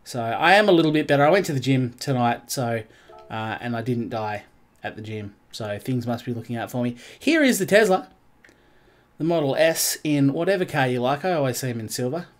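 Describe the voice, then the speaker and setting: Aussie guy streaming a racing game, stuffy nose, middle pitch, rambly, chatting to viewers. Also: animated, brisk. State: congested, nasal. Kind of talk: casual live-stream commentary.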